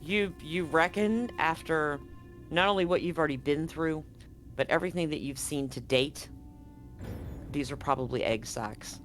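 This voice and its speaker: Male game master, slightly high voice, laid-back descriptive narration, faint music under him. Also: moderate pace, fairly bright tone.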